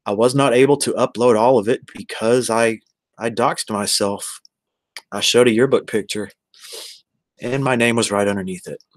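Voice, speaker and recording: A man's voice: mid-range voice, fairly even intonation, moderate pace, no room sound. An American man in his forties chatting casually on a livestream, rambling and addressing viewers one by one. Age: 40s. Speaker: live streamer.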